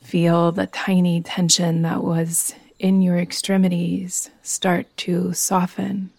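A woman guiding a yoga nidra meditation in a soothing, gentle, unhurried manner. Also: fairly low pitch, completely flat delivery, gravelly, no room sound. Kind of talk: guided meditation.

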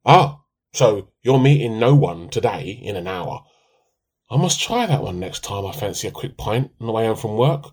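A man's voice: lowish voice, lively intonation, normal speed, darkish tone, husky. A man playing a put-upon, dryly sarcastic middle-aged husband in a radio play. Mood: mocking.

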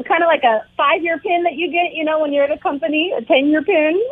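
A woman's voice: sits high, brisk. A woman, co-hosting a talk show in bubbly, chatty talk with frequent laughter. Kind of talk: casual on-air chat. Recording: thin phone-line sound.

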